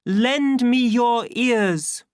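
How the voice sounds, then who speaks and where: A reader playing an impassioned, naive young male outsider in an audiobook, declaiming in a loud, ringing way. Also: mid-range voice, extremely slow, clean tone, dry recording, studio quality, pitched above the performer's own voice. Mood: desperate pleading.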